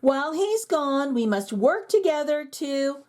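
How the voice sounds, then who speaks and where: A woman voicing a flustered school leader who speaks in a high, exclaiming teacher tone. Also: very animated, unhurried, a little mellow. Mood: calm. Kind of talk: storybook character voice.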